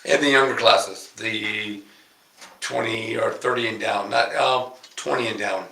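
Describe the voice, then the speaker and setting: A laid-back man with a gravelly, bright voice co-hosts a casual livestream, calling out viewers by name. The room gives his voice a noticeable echo. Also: fairly low pitch, steady tone, measured pace. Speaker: podcast co-host. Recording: roomy echo.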